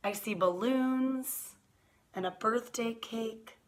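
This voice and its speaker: A woman doing an animated, expressive children's storytime read-aloud, speaking directly to young listeners with friendly asides. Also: a little high, takes it slowly. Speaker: teacher reading picture books aloud to children.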